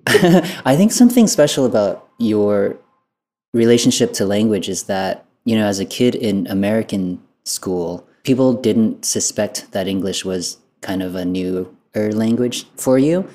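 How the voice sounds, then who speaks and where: Mellow low-key woman hosting a podcast. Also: lively intonation, measured pace. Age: 30s. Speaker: podcast host. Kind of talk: friendly casual interview chat.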